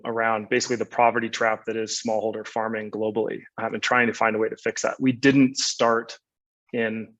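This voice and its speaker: A man explaining his company's approach as a webinar panelist, over dull, muffled online call audio. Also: mid-range voice.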